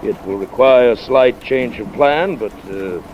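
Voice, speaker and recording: A man's voice: mid-range voice, unhurried, lightly acted. Man acting a pompous Southern colonel on vintage radio. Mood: wheedling, bargaining. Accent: American Southern. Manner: flowery, blustering, hesitant when bargaining. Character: pompous, scheming Southern colonel, male carnival showman. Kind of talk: 1940s radio drama acting. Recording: dull vintage radio recording, faint noise.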